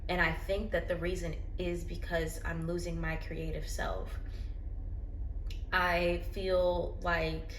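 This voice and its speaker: A woman's voice: on the low side, little pitch movement, slow. Earnest woman vlogging in an echoey room. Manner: earnest and reflective. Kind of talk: candid personal vlog talk. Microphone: phone. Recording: roomy echo, faint background noise.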